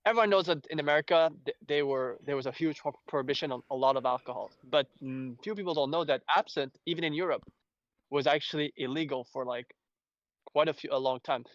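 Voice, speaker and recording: A man from Belgium, a native French speaker with an accent to match, hosting a casual fan discussion in a rambling, halting way. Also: a little high, no echo, dull audio. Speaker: host.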